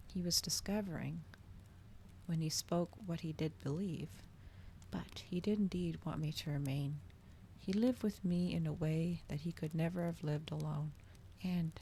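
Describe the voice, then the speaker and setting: Low, creaky-voiced woman reading softly. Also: slight background noise. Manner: soft, hushed, often slipping into whispers. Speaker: streamer reading a book aloud. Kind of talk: ASMR bedtime story reading.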